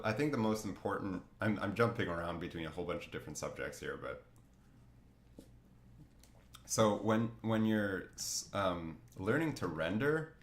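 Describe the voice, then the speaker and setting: A man's voice: sits low, roomy sound. A man chatting casually while drawing on a livestream, rambling with lots of fillers and restarts, a bit sniffly from a cold. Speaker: artist streaming a drawing session.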